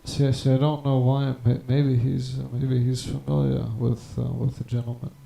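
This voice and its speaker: A man rambling on a live stream, stammering and halting, with wordy, formal phrasing. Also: medium pitch, flat delivery, gravelly, dark tone, reverberant, faint music behind, low-quality recording. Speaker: prank call stream host.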